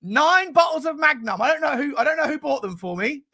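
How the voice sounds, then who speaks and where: A high-pitched British man in his forties with a bright, forceful and gravelly voice. He hosts a football fan livestream, rambling from one jokey aside to the next. His talk is casual, slangy and sweary, aimed straight at the people commenting. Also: lively intonation, fairly quick. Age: 40s. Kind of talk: casual livestream chat, reading and answering comments.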